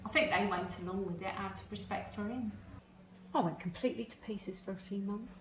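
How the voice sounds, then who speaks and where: A woman playing a wistful, anxious middle-aged mother in a stage play, confiding in halting reminiscence. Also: mid-range voice, close to an everyday voice. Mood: reflective. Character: middle-aged birth mother, wistful, anxious, devoted to her secret daughter. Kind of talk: amateur stage-play acting, naturalistic dialogue. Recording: roomy, distant, noisy home recording.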